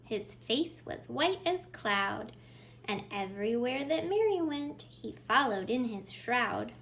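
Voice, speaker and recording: A woman's voice: fairly high pitch, big pitch swings, slow, vocal fry, fairly bright tone, slight background noise, rough recording. A woman hosting a children's storytime, reading aloud expressively and speaking to young listeners with simple questions and praise. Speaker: children's librarian, storytime host.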